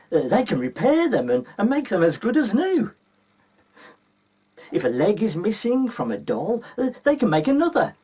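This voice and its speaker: A man with a husky voice reading a children's story aloud as a storyteller. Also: high voice, darkish tone.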